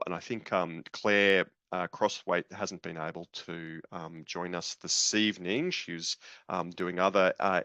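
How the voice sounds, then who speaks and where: A man hosting introductions on a video call, halting with many fillers, over dull lo-fi call audio. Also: sits low, a bit bright, clear, resonant tone, dry recording. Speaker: program leader and host.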